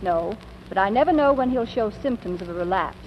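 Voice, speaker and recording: An actress playing a brisk, witty, lovelorn secretary with a wry, teasing manner in a 1940s radio comedy. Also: female voice, medium pitch, animated, close to an everyday voice. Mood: wry, fond. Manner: wry, quick-witted, teasing. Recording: dull, noisy old radio recording.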